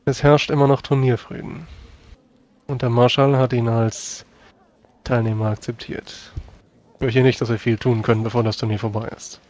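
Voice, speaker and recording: A man speaking in character as a knight during a tabletop role-playing game. His voice sits at a middle pitch and his talk is casual and conversational. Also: level tone, moderate pace, darkish tone. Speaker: player.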